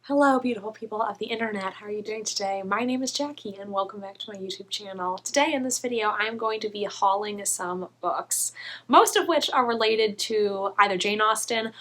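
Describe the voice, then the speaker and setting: Bright-voiced, slightly hoarse young woman. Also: mid-range voice. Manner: chatty, enthusiastic, quick asides. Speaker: book vlogger. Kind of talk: casual book haul vlog.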